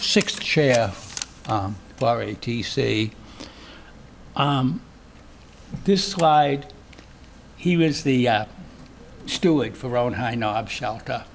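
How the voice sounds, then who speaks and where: Slow-talking Boston man giving a speech. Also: medium pitch, a little hiss or hum. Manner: folksy and joking, addressing an audience. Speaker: award acceptance speaker, trail maintainer. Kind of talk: informal acceptance speech. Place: event hall before an audience.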